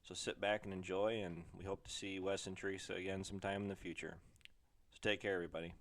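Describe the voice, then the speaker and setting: A man's voice: deep voice, little pitch movement, fast, fairly bright tone. A man hosting in a casual, conversational way. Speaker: host. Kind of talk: casual chat.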